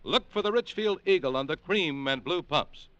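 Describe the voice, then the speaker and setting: A man announcing a 1940s radio program, reading sponsor messages and credits in a crisp, emphatic, formal manner. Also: on the high side, lively intonation, normal speed, lo-fi sound, loud music behind the voice.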